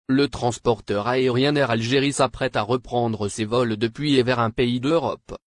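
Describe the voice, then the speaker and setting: A man delivers scripted news narration in a medium-pitched voice. His manner is formal, like someone reading written copy. The recording is close and dry, with very little room sound. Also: dry recording.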